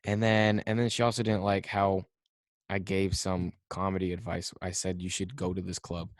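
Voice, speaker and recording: Young podcast host, low dry voice. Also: male voice, level tone, no room sound. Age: young adult. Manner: quick storytelling, offhand, mimics others in quotes. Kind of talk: casual chat.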